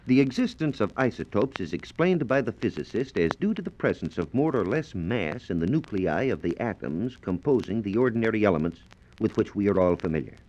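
A man's voice: fairly low pitch, big pitch swings, fairly quick, a little mellow, a little hiss or hum. A man with an American accent presenting a formal, carefully phrased scripted science lecture in 1940s radio style. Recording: dull lo-fi 1940s radio transcription disc.

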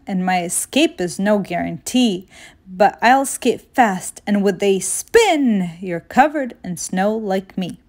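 A woman voicing a playful, confident magical snowman from a children's storybook, in an expressive singsong rhyme. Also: medium pitch. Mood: teasing, then playful, boastful.

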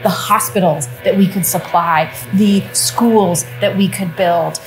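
A woman's voice: on the low side, measured pace, soft background music, low-quality recording. Earnest woman, upbeat and plain. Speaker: climate change advisor. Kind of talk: to-camera interview.

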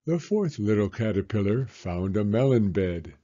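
Man, 60s, children's storyteller: low-pitched, raspy, measured delivery, slightly dull recording. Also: animated, warm tone. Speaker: story reader and host, elementary school teacher. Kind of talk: children's picture-book read-aloud.

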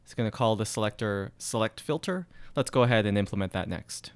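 Lowish smooth male coding instructor. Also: brisk, no echo. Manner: explains while typing, steady and methodical. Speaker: programming tutorial instructor. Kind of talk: screencast coding walkthrough.

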